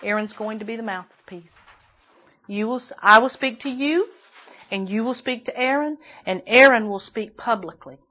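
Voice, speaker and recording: Woman, preacher, shrill, highish voice, emphatic, repetitive, muffled lo-fi recording. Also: normal speed. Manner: emphatic, repeats phrases for stress. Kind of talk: sermon.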